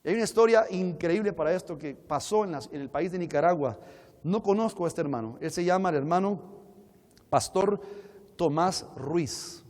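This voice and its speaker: Male preacher, Colombian accent, slightly high register, conversational teaching delivery with rhetorical questions, live in a reverberant hall. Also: expressive, moderate pace, darkish tone. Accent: Colombian Spanish. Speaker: preacher and conference speaker. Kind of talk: sermon-like seminar teaching to an audience. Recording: echoey hall with long reverb.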